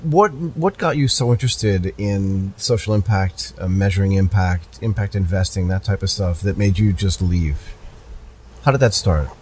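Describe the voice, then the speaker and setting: A man's voice: low-pitched, normal speed, a little mellow, slight background noise. A man hosting a podcast, interviewing guests in a casual, chatty, informal way. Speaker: podcast host.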